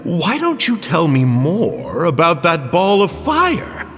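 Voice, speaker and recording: Theatrical, slow-talking curious stranger. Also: male voice, fairly high pitch, wildly swooping pitch, a bit bright, full character voice. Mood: coaxing, curious. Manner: theatrical, folksy exclamations, coaxing. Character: chatty, theatrically curious stranger man, fussy and slyly ingratiating. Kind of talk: video game character acting. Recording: long reverb, game music behind.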